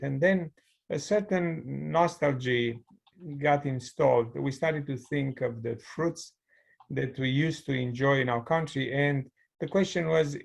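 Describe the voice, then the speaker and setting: A man's voice: mid-range voice, vocal fry. A middle-aged man from Romania with a Romanian accent, telling his story as an interview guest over a video call in a thoughtful way. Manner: thoughtful, storytelling with light laughs. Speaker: guest, mechanical engineer. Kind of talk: conversational interview.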